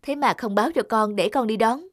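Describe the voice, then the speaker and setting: A woman playing a quick-tempered, anxious young mother in a cartoon. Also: a little high, dry recording, clean studio sound, lightly acted. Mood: irritated, scolding. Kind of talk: cartoon dialogue.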